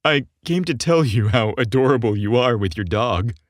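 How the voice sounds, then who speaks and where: A man's voice: medium pitch, animated, normal speed, dry recording, studio quality, close to an everyday voice. A man voicing a friendly but hesitant young man in an audiobook, casual and wry. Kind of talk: audiobook character dialogue.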